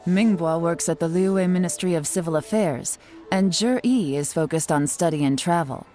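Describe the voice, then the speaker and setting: A woman performs a young covert operative for a video game. Her voice is fairly low and very mellow, with a sly, confident, teasing air. Faint music sits behind her. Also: moderate pace, close to an everyday voice. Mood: businesslike. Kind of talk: scripted video game dialogue.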